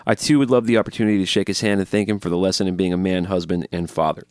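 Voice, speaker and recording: A man's voice: low voice, fairly even intonation, a little mellow, clear, resonant tone, dry recording, studio quality. A man co-hosting a podcast, reading listener emails aloud and chatting eagerly, often cutting in over his co-host. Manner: quick, chatty, jumps in over the other host.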